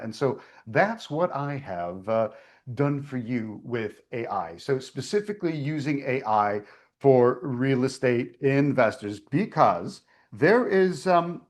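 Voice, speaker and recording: Animated, chatty male presenter. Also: medium pitch. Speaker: meeting host and presenter. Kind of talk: online presentation.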